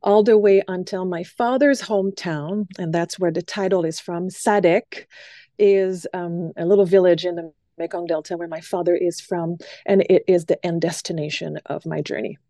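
Mellow woman, dry sound. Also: on the low side, fairly even intonation, moderate pace, dry recording. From Quebec City, Canada. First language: French. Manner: thoughtful, frequent ums, light laughs. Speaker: guest, stage performer. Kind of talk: conversational interview answers.

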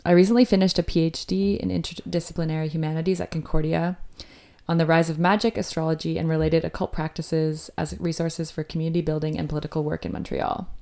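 A woman's voice: sits low, little pitch movement, fast, mellow. A woman from Canada, a radio co-host, reading a scripted introduction and narration.

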